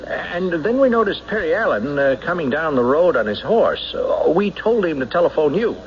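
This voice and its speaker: Nervous, halting murder suspect, muffled recording. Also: male voice, fairly high pitch, very animated. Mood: nervous, evasive. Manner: hesitant, halting, stammering under questioning. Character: nervous, evasive man in love, suspected of murder. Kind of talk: old-time radio drama acting. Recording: noisy band-limited old radio broadcast recording.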